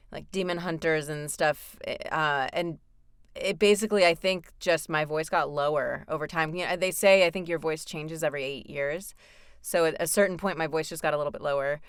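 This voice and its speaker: A woman voice actor chats freely about her work in a low, smooth, resonant voice. She talks loosely with fillers and small laughs, recorded dry and close. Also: moderate pace, dry recording. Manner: chatty, with laughs and frequent fillers. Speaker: voice actor guest. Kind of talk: casual interview chat.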